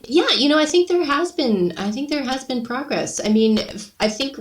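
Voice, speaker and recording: A middle-aged woman chatting as a podcast guest, telling personal anecdotes in an expressive, conversational way. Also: high-pitched, normal speed. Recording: roomy echo, distant from the mic.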